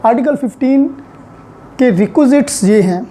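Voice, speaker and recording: Mellow-voiced Pakistani woman lecturing. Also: on the high side, moderate pace, slight background noise. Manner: code-switches between Urdu and English legal terms; didactic, step-by-step explaining. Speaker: law lecturer. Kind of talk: explanatory lecture on law.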